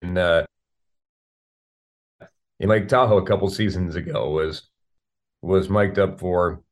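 A man's voice: sits low, takes it slowly. A man, a radio play-by-play announcer, giving wordy sports analysis as an interview guest, often repeating words as he searches for the next phrase. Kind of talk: sports interview chat about hockey.

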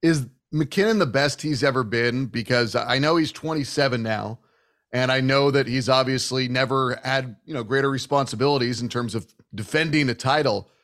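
A man's voice: mid-range voice, moderate pace, a bit bright. A man hosting a conversational sports interview, speaking with enthusiasm and emphasis. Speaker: sports show host.

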